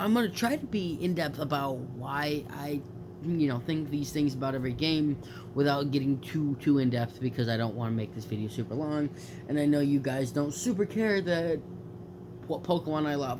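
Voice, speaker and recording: A man's voice: mid-range voice, background noise. A young man with a stuffed-up nose chatting casually about video games, rambling with lots of fillers and self-corrections. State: stuffed up from allergies. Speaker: gaming YouTuber. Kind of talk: casual late-night video chat.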